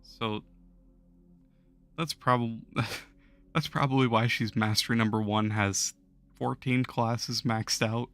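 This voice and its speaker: A hoarse young man losing his voice, chatting casually with viewers on a gaming livestream and chuckling often. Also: mid-range voice, fairly even intonation, slow, pauses often, a bit bright, faint music behind. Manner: laid-back, chuckles while talking to chat.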